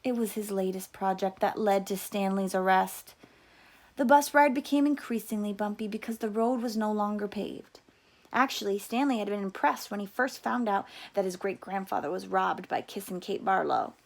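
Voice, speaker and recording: A woman reading a children's novel aloud as a teacher, with expressive storytelling delivery. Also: medium pitch, normal speed, creaky.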